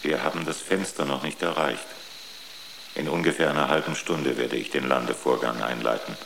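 An actor playing a coolly rational, superior ship's computer in a radio drama, speaking in a measured, pedantic, matter-of-fact way. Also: male voice, rumbling low, steady tone, creaky, a bit bright, a little hiss or hum, full character voice, low-quality recording. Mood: coolly factual.

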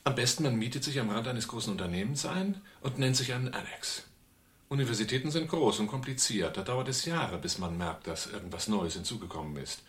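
An actor playing a cool, commanding leader of a covert break-in in a German radio drama, giving dry instructions. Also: male voice, medium pitch, animated, a little fast, close to an everyday voice. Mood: matter-of-fact. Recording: clearly noisy old radio drama recording.

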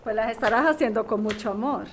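A woman's voice: a little high, fast, slight background noise, close to an everyday voice. A woman playing a weary, polite, deferential household cleaner in an audio drama.

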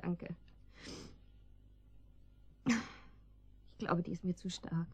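A woman's voice: fairly low pitch, extremely slow, darkish tone, breathy edge, dull audio, exaggerated voice. A woman playing an anxious captive wife in a German radio drama, pleading hesitantly with her guards. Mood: subdued. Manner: hesitant, pleading, breaks off sentences.

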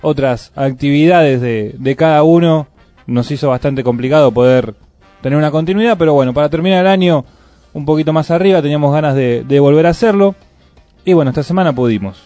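A man's voice: a little high, fairly quick, no echo, soft background music. Argentine man hosting community radio. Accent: Argentine Spanish. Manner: relaxed, reads event listings conversationally. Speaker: radio host. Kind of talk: casual community radio chat.

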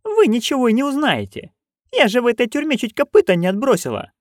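A storyteller voicing a chatty, coarse, bawdy old witch woman who rambles loudly. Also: mid-range voice, huge pitch swings, quick pace, dry recording, clean studio sound, pitched above the performer's own voice. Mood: gossipy.

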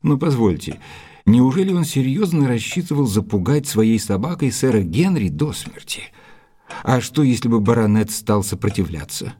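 A courteous, inquisitive gentleman, the detective's companion in a radio play. His voice is dark and husky, with lively swings of pitch, and he questions earnestly and politely. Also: moderate pace. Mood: puzzled, probing. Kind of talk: audio drama acting, dialogue.

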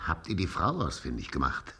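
An actor playing a zealous, scheming old archbishop in a radio play, solemn and menacing. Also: male voice, very deep voice, wildly swooping pitch, a little fast, bright, forceful tone, slight background noise, heavily acted. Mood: grim zeal. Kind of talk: German radio play acting.

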